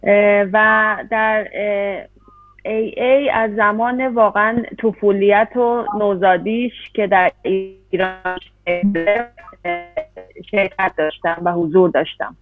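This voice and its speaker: A woman interpreting a talk, rushing through phrases with hesitant fillers between them. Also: medium pitch, steady tone, soft background music. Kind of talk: consecutive interpretation. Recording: dry, tinny phone-like sound.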